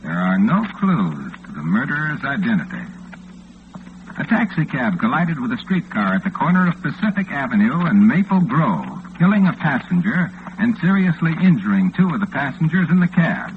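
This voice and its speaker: A man's voice: medium pitch, big pitch swings, moderate pace, close to an everyday voice. A man playing a laconic, dryly cynical radio station newsman with an American accent, in an old-time radio drama. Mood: dry newsreading. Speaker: newsreader. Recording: muffled, noisy vintage radio recording.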